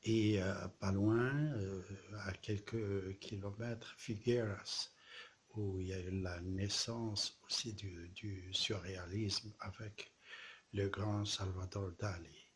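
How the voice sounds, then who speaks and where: A man from southern France, an artist presenting his exhibition in a slow, halting way, with pauses and repeated words. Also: low voice, steady tone, a little hiss or hum. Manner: repeats poetic refrains. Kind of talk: casual spoken address to camera.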